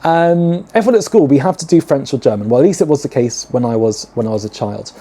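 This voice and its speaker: British man, drag queen host, camp and theatrical, very dark mellow tone, lots of ums, sudden high squeals. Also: lively intonation, quick pace. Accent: British English. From United Kingdom. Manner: many "um" fillers, chatty and self-mocking. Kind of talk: chatty solo talk to camera.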